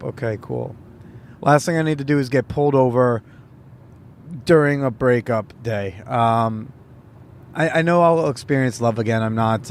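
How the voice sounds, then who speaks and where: A man hosting a podcast, talking casually in a rambling, halting way with many fillers. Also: mid-range voice, darkish tone, a little hiss or hum. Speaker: podcast host; comedian. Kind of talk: casual solo monologue.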